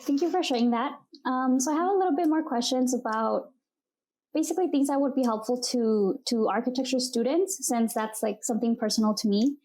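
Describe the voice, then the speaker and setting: A woman's voice: high voice, a little fast, darkish tone. A young woman, a student, politely and somewhat hesitantly asking interview questions over a video call. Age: young adult.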